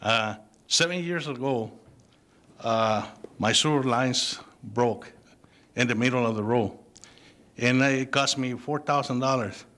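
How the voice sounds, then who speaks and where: A middle-aged man with a Spanish accent, speaking haltingly with many fillers at a city council public comment, into a podium microphone in a council chamber. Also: mid-range voice, a bit bright. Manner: halting, with frequent fillers and restarts. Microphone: podium microphone on a gooseneck.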